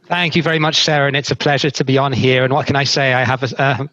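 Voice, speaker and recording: A man's voice: medium pitch, quick pace, dry recording. British man on a dull, dry video call. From United Kingdom. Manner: relaxed, self-deprecating, with fillers. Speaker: panel moderator. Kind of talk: webinar introduction.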